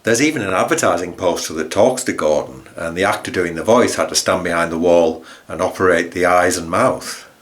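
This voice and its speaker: Low-pitched man presenting. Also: brisk. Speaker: author and presenter. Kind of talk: informal documentary commentary.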